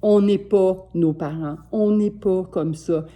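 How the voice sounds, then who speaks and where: Gentle Québécois woman in her sixties. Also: medium pitch, slow pace. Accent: Québécois French. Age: 60s. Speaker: life coach. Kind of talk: motivational self-help talk to camera. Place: home.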